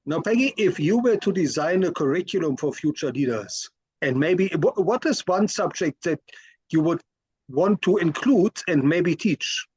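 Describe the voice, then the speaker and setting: A man's voice: fairly high pitch, animated, normal speed, darkish tone, breathy edge, no room sound, highs cut off. A man hosting an interview, asking questions in a polite, friendly way. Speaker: interview host.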